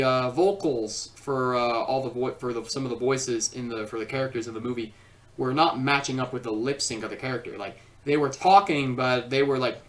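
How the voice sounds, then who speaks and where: Film reviewer speaking far from the mic. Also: mid-range voice. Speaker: video reviewer and host. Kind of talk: informal scripted film review with ad-libbed asides.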